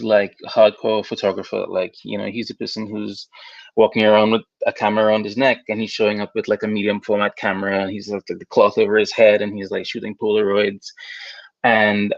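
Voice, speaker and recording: A man with a low voice talks in a loose, chatty way, with lots of "like" and "you know". The sound is dry and close, with a slightly dull video-call tone. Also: creaky, no room sound. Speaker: artist guest. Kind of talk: casual conversation over a video call.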